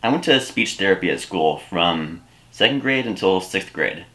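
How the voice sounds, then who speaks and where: Deadpan young man with a low voice. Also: normal speed. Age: young adult. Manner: deadpan, rambling. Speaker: comedy YouTuber. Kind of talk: scripted comedy sketch. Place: small room indoors.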